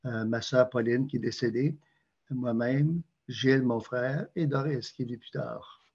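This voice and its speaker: An older man speaking with a Canadian French accent, his voice mellow and slightly husky. He pauses often and fills gaps with hesitations as he talks through his answers. The recording sounds a little muffled. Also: medium pitch, fairly even intonation. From Quebec, Canada. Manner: hesitant, many fillers and restarts. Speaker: interview guest. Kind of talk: prayer reading and interview answers.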